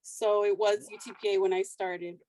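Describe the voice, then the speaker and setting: A woman in her late twenties from South Texas, a counselor speaking as a guest in a casual interview, soft-spoken and shy. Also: medium pitch, little pitch movement, normal speed, low-quality recording.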